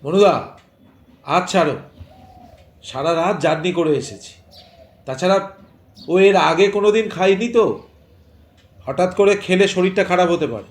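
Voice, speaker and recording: Man as high-voiced easygoing young villager, roomy sound. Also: measured pace, long pauses. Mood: relaxed explaining. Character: easygoing young man showing city friend his village. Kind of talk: Bengali audio story acting.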